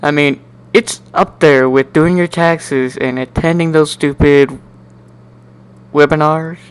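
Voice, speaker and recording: A man giving an amateur dramatic reading of a first-person story in an animated, wry, sardonic way. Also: fairly high pitch, takes it slowly, clear, resonant tone. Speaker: amateur narrator.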